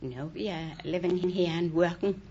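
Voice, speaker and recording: A woman's voice: lowish voice, normal speed, warm tone. Hesitant woman in her sixties. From Denmark. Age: 60s. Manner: hesitant, filler-heavy, giggly answers. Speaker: quiz contestant, cook. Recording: dull old radio broadcast with faint hiss.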